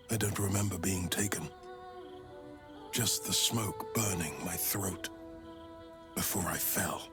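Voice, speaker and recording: An actor playing a haunted, courteous male adventurer in a fantasy video game, telling his story in solemn, literary phrases. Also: lowish voice.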